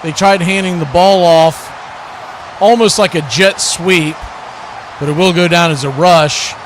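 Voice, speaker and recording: High-pitched man calling football, noisy background. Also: measured pace. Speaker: play-by-play announcer. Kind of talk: live football play-by-play commentary. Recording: clear steady background noise.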